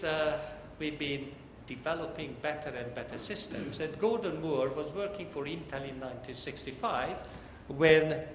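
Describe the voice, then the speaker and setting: Older man, academic lecturer, halting deliberate phrasing, reverberant hall, some background noise. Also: a little high.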